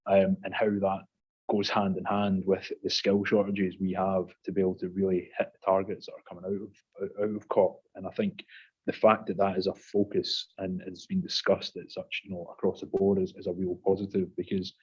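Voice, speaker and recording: A man with a very low, husky voice speaks on a panel in a measured way. His delivery stays flat, and the video call audio is muffled. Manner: measured, with frequent fillers and repeats. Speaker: panel guest, industry consultant. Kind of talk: casual panel talk.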